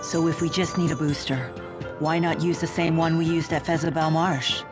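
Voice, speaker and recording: A woman acts a dignified, self-assured young warrior from a game story. Her low voice is composed and direct, with formal phrasing. Music is clearly heard behind her. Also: fairly even intonation, normal speed, lightly acted. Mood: firm, composed. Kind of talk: English game dub acting. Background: background music.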